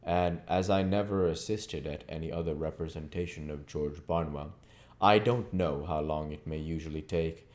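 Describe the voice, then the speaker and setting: A man's voice: a bass voice, normal speed, darkish tone. A man reading a classic novel aloud as narrator, now and then stumbling over words and correcting himself. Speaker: audiobook reader.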